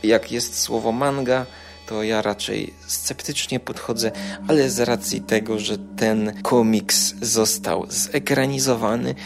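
A Polish man delivers a chatty podcast roundup over a music bed. His phrasing is colloquial and playfully aggrieved, with words repeated for emphasis. His voice sits at an everyday male pitch. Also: fairly even intonation, a little slow, vocal fry. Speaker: podcast and video show host. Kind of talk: informal podcast news roundup. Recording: music behind the voice.

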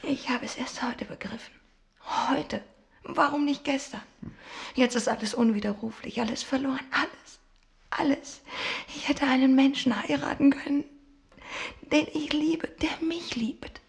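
An actress playing a distraught, lovesick young bride in a radio play, tearful and whispery, full of regret. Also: female voice, sits high, steady tone, a little slow. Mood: despairing. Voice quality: breathy, whispery, creaky. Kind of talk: intimate radio play acting.

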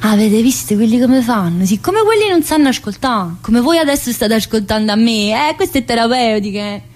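Casting: actress for a troubled female psychiatric prisoner in a radio monologue, Neapolitan accent, husky voice, confiding and rambling. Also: a little high, big pitch swings, darkish tone. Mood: aggrieved. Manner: colloquial, confiding, rambling, with abrupt pauses and interjections. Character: troubled, talkative woman inmate of a psychiatric prison.

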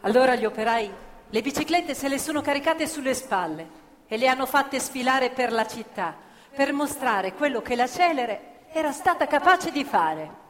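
A woman's voice: high voice, expressive, moderate pace, a bit bright, a little hiss or hum. An actress playing a defiant working-class woman, recalling factory protests in an emphatic, plain-spoken radio play narration. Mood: measured. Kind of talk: theatrical narration in a live radio play with music. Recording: long hall reverb, music underneath. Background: live musical instruments.

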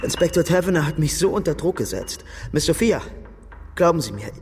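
A man's voice: on the high side, very animated, a little fast. Agitated male tutor, timid, pleading, reverberant room, slight background noise. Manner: nervous, pleading. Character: weak, agitated male private tutor under suspicion. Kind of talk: German radio crime drama acting.

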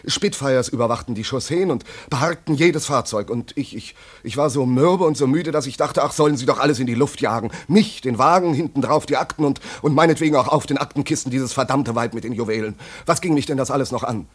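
An actor playing a harried wartime German inspector in a radio play, flustered and brusque. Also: male voice, mid-range voice, fairly quick, hardly any pauses, slightly rough, close to an everyday voice. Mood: weary, recollecting.

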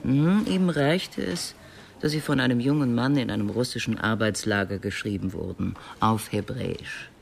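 An actor playing a thoughtful literary man in a radio drama, explaining in a deliberate, reflective way with a creaky voice. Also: medium pitch, close to an everyday voice. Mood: reflective, puzzled. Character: thoughtful, reflective literary acquaintance of a murdered professor. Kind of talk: German radio drama acting. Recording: faint background noise.

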